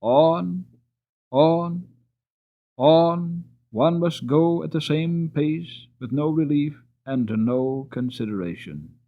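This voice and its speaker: A man reading a classic story aloud dramatically, stretching words for emphasis. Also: on the high side. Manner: expressive, drawn-out emphasis. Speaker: audiobook reader. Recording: tinny phone-like audio.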